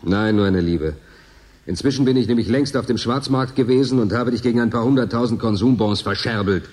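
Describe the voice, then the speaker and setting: A man's voice: mid-range voice, fairly quick, darkish tone, soft background music, natural voice. An actor playing a lonely, teasing male convict in a German radio drama, talking in an intimate, musing way. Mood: spiteful, teasing. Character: Tonio, lonely male station convict, yearning, teasing, bitter.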